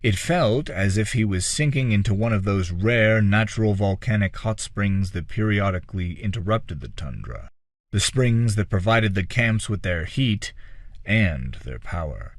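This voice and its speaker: A man with a fairly low voice narrates a dark fantasy tale. His delivery is scripted and dramatic, like an audiobook. He phrases things in a measured, theatrical way, drawing out his words. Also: lively intonation, no echo, studio quality.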